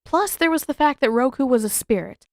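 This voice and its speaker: A woman reading scripted fiction as narrator, expressive and storylike in her delivery. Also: sits high, brisk, dry recording, clean studio sound. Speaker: fan fiction author and narrator.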